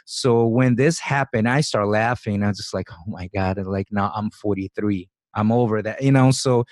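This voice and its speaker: A man's voice: fairly low pitch, moderate pace, a little mellow, no room sound. Easygoing man in his forties, dry sound. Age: 40s. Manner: loose and rambling, laughs often, acts out his own thoughts. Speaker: podcast host. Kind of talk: casual interview conversation.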